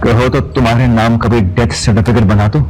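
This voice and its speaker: A man playing a loyal, defiant businessman in an audio serial drama, speaking with deliberate menace. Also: medium pitch, fairly even intonation, noisy background, close to an everyday voice. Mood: defiant, menacing. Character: defiant, threatening businessman loyal to missing friend.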